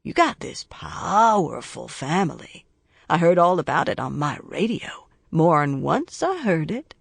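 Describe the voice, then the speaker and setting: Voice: mid-range voice, very animated, a little slow, vocal fry, breathy edge, dry recording, exaggerated voice. A narrator voicing a menacing male death-row killer who taunts in a crude, mocking singsong and sneering whispers. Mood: taunting.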